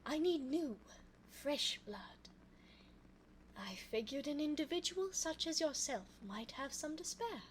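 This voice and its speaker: A woman playing an elegant, cunning vampire in an ASMR audio roleplay, speaking formally and coaxingly in a soft voice close to the microphone. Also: high voice, lively intonation, slight background noise, low-quality recording. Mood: coaxing, sly. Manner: formal, genteel, slow, coaxing. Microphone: close binaural microphone.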